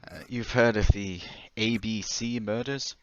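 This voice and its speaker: An actor playing a troubled, desperate man confessing to murders, halting and pleading in old time radio drama style. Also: on the low side, fairly even intonation, no echo, muffled recording, natural voice. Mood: anxious, halting. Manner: halting, agitated, stammering, pleading.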